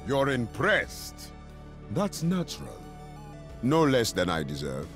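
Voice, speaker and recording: Smug game supervillain, slow and deliberate, very lively pitch, low-set voice. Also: male voice, over music, heavily acted. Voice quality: deep, slow, self-assured. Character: suave cocky male supervillain, intelligent, menacing. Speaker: game character voice lines. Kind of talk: video game voice acting.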